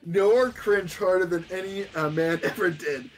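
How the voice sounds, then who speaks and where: A man's voice: high-pitched, unhurried, soft background music, rough recording. A man streaming a casual, stumbling read-aloud of fan fiction, breaking off to comment. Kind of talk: casual fanfic reading with commentary.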